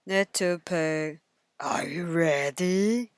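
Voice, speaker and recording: A woman voicing a sly, menacing male wolf in a bedtime story, with a coaxing, drawn-out, forceful delivery. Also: lowish voice, clean tone, no room sound, studio quality, voice pushed down.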